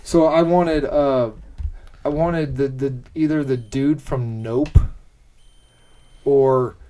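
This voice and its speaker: A man co-hosting a podcast in casual chat, often halting as he gropes for words and swearing now and then. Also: medium pitch, steady tone, warm tone. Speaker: podcast co-host. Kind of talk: casual chat, pitching film ideas.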